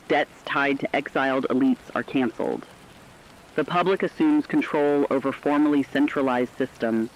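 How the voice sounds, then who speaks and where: A man with a mid-pitched voice narrates a formal news script as a radio co-anchor. He speaks in a measured way, and the recording is dull and lo-fi.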